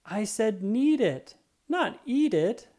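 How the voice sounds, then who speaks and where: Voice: mid-range voice, sing-song, slow pace, mellow, voice pushed up. A storybook narrator voicing an eager young boy who talks to his dog. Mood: upset, shouting.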